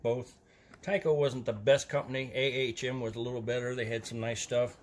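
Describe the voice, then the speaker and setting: A man's voice: mid-range voice, flat delivery, brisk. A man in his fifties, a guest collector, explaining items in a matter-of-fact, knowledgeable way, recorded on a phone camera in a large warehouse. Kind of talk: casual explaining. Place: large indoor storage warehouse.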